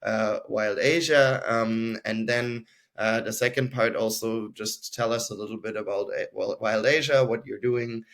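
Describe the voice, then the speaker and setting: A man's voice: on the low side, fairly even intonation, measured pace, fairly bright tone. A man hosting a podcast interview, introducing his guest with frequent hesitations. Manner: hesitant, lots of ums and uhs. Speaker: podcast host.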